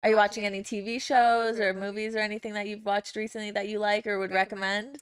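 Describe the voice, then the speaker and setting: Young female host, middle pitch, warm affirming questions, casual podcast tone; lav mic, small studio couch. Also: little pitch movement, clear, resonant tone. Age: young adult. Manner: friendly, affirming, quick to agree. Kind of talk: casual interview chat. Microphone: lavalier mic.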